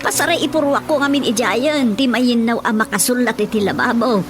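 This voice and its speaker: A woman's voice: a little high, a little fast, close to an everyday voice. A woman with a Filipino accent playing an anxious, superstitious rural wife and mother in a radio comedy drama. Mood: fretful. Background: music.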